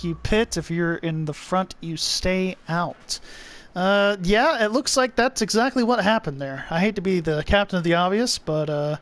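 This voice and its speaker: A man's voice: high-pitched, a bit bright. A young man giving loose, chatty live commentary on a sim race and reading viewer comments aloud.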